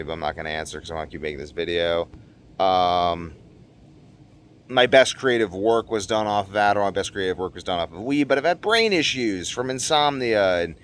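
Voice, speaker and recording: A man talking casually and unscripted as a video blogger, earnest and rambling, often repeating phrases for emphasis. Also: deep voice. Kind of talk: casual unscripted monologue to viewers.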